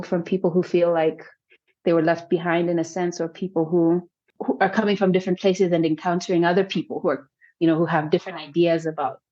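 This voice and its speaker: A woman's voice: lowish voice, mellow, lo-fi sound. A woman filmmaker from South Sudan answering interview questions thoughtfully, often restarting her sentences as she thinks. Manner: thoughtful, many restarts and hedges. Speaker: filmmaker guest.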